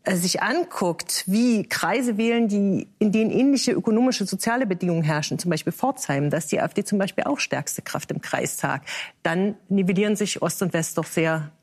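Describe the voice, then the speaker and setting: A woman from East Germany, a guest on a talk show panel, arguing her point firmly with frequent hesitations. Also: medium pitch. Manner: measured, many hesitations, firm.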